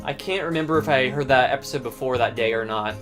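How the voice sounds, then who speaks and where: A man hosts and narrates a personal story in a scripted storytelling style. His voice sits at a middle pitch, with plucked guitar music playing behind him. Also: fast. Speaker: host and narrator.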